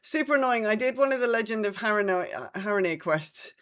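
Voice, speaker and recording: A woman co-hosts a podcast in a casual, conversational way. Her voice sits at a middle pitch as she recounts her gaming week, acting out reactions as she goes. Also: animated, quick pace, fairly bright tone. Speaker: podcast host.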